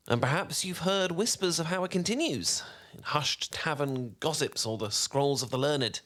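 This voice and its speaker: A learned, charming male wizard, acted by a man spins a bedtime tale in a soft, velvety voice of medium pitch. He is theatrical and eloquent, a little pompous, and keeps an unhurried, soothing delivery suited to a sleep-aid roleplay. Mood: gentle, playful. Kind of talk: soft-spoken bedtime storytelling roleplay, ASMR.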